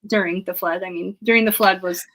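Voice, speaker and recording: A woman's voice: on the low side, fairly even intonation, a little fast, fairly bright tone. A woman speaking as an interview guest and historian, casual and reflective, earnestly searching for her words.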